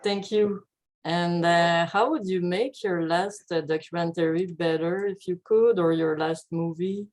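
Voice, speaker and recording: A woman's voice: lowish voice, fairly even intonation, measured pace, darkish tone. A woman interviewer asking brief, encouraging questions at a film festival.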